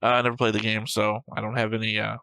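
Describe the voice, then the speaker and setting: A man's voice: lowish voice, monotone, fast talker, vocal fry, sharp tone, clean tone, no echo. A man co-hosting a reaction show, chatting casually about a series in a flippant, argumentative way. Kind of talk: casual discussion of an anime series.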